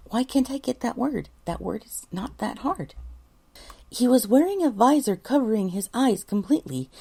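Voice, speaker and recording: A woman with a high, creaky voice reads first-person story narration with lively swings of pitch. She corrects herself as she goes, a little exasperated with her own slips. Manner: self-correcting, mildly exasperated at flubs. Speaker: voice actor, narrator.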